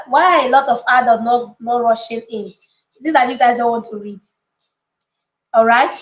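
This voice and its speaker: A woman with a fairly high voice teaches like a motivational coach on a live session. She is emphatic and chatty, repeating her points and checking that listeners follow. The room echoes clearly around her voice, and the sound is slightly dull. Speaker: coach and book club leader hosting a live session. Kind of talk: motivational live teaching with informal chat to viewers.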